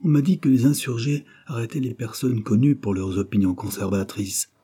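A man's voice: mid-range voice, moderate pace, warm tone, breathy edge, pitched above the performer's own voice, natural voice. Fluty, composed marquis, acted by a man. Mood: knowing. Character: refined male marquis, composed and knowing.

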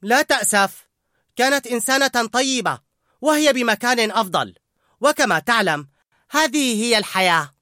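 An awkward, skinny young man from a storybook, tripping over his words out of nerves, as a woman puts him on. The voice is high and bright, recorded dry and close. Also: lively intonation, normal speed, no echo. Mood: flustered. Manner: stammering, flustered.

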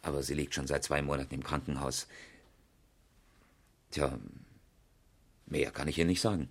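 A man's voice: very deep voice, fairly even intonation, normal speed. A man acting as an engineer and amateur rally driver in a 1970s German radio crime drama, flippant and defensive in manner. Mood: dismissive, amused.

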